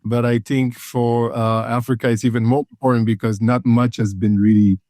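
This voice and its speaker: A man's voice: medium pitch, little pitch movement, moderate pace, dark tone, dry recording, clean studio sound. A man from Ethiopia, a consulting firm founder, talking in an interview, hesitant and full of fillers and repeated words. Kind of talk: conversational interview.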